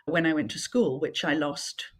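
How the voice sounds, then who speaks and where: Low, husky woman author. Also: moderate pace. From England, Yorkshire. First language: French. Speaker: author guest. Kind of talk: casual interview chat. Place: home in Yorkshire, remote call. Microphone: video call.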